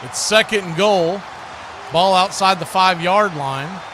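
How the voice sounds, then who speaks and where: A man's voice: high-pitched, measured pace. A man giving live play-by-play commentary on a football game as the commentator. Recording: clearly noisy live stream audio.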